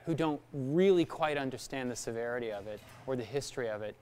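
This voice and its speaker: A man's voice: mid-range voice, quick pace, warm tone. A man speaking reflectively in an interview. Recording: faint background noise.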